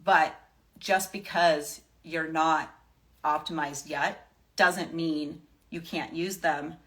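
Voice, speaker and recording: Lower-pitched woman, casual wellness advice. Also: fairly even intonation, takes it slowly, a bit bright. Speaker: live stream host giving wellness advice. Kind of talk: casual off-the-cuff advice to followers.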